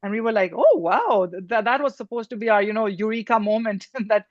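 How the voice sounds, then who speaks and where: A woman's voice: fairly high pitch, expressive, fairly quick, dry recording. An older woman from Pakistan speaks as an interview guest over a video call. Her voice comes through dry and a little dull, lo-fi. She talks casually, with fillers and easy laughs. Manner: warm, laughs often, many fillers. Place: home room.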